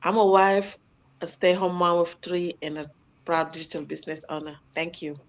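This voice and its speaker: A woman from Senegal on an online panel, speaking quickly and briefly. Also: on the low side, level tone.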